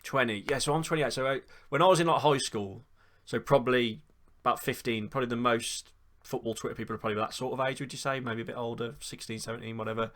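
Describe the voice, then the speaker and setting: A man hosts a relaxed football podcast. He talks quickly and loosely in a chatty flow, with the odd casual swear. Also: medium pitch. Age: 20s. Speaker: podcast host.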